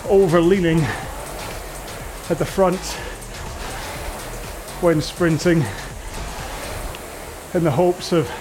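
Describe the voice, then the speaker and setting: High-voiced man, slow-paced workout coach. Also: fairly even intonation. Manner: rambling, jokey, conversational. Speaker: rowing workout instructor. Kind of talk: casual coaching talk during a workout. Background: music.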